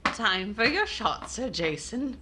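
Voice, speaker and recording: A woman's voice: mid-range voice, big pitch swings, takes it slowly, very noisy. An actress playing a wry, fussy, nagging woman in an old-time radio comedy. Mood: scolding.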